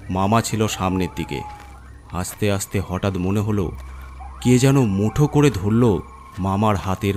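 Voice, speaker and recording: A man's voice: fairly low pitch, darkish tone, a little hiss or hum. A man narrating a scripted horror story as its storyteller.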